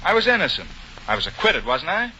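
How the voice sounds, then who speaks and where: A man's voice: fairly high pitch, wildly swooping pitch, quick pace, bright, forceful tone, heavily acted. Flustered eager american con man, 1940 radio comedy, lots of hiss and noise. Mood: earnest. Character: glib racketeer gone soft, flustered and eager. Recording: muffled, noisy vintage radio broadcast.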